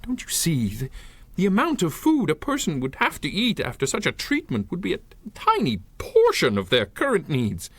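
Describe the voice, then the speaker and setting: An actor playing an earnest, eccentric old scientist in a radio drama, formal and grandiose, easily flustered into stammering. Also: male voice, fairly high pitch, huge pitch swings, moderate pace, fairly bright tone, husky, no echo, full character voice. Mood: proud, earnest. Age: older. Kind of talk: 1930s-style radio drama acting.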